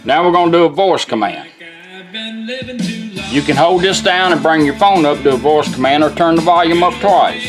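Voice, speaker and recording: Folksy higher-pitched man demonstrating, music behind. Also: expressive, moderate pace. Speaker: product demonstrator. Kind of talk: informal hands-on product demonstration.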